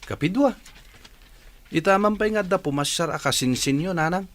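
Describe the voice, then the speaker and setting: A man's voice: high-pitched, normal speed, close to an everyday voice. A man acting a suspicious, questioning son in an Ilocano radio drama. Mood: curious. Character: suspicious, questioning son confronting his mother.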